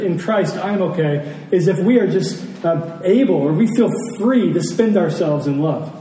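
A man's voice: sits high, dark tone. An American man giving a conversational sermon as a pastor, speaking reflectively and repeating phrases for emphasis. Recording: roomy, distant mic, faint noise.

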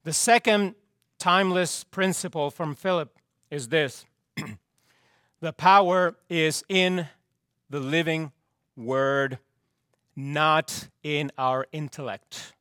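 A male pastor preaching slowly and deliberately, his voice fraying and hoarse from use. He sits a touch higher than most men and repeats his points for weight, letting each line fall away. The sound is dry and close. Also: a bit bright, no echo. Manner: slow, emphatic, repeats key phrases, drops pitch at line ends. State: losing his voice, clearing throat. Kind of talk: sermon.

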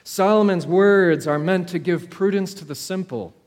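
A man preaching a storytelling sermon in a measured way, with dramatic pauses and repeated phrases. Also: high voice, darkish tone. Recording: long hall reverb.

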